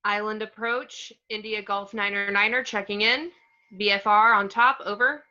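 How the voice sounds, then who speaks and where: A woman's voice: mid-range voice, fairly even intonation, normal speed, bright, forceful tone, highs cut off. A woman playing an anxious, take-charge superhero mother in an amateur online table read. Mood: businesslike.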